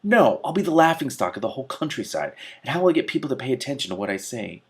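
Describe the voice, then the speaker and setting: A man's voice: mid-range voice, very animated, quick pace, husky, pitched above the performer's own voice. A man voicing a pompous male villain who demands and protests indignantly in a storybook. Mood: panicked protest.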